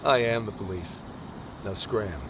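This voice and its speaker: A man's voice: on the low side, measured pace, fairly bright tone, a little hiss or hum. An actor playing an easygoing, flippant plainclothes policeman in a video game cutscene, confident and playfully theatrical. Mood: casual, teasing. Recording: music behind the voice.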